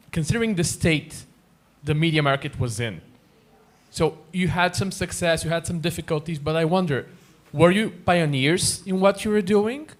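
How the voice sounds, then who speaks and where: Hesitant man from Bulgaria asking. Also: on the high side. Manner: hesitant, repeating words while searching. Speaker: audience member asking a question.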